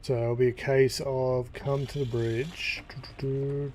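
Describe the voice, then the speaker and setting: A man giving casual commentary as he plays a game, relaxed and thinking aloud. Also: mid-range voice, slow, a little hiss or hum. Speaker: gaming YouTuber.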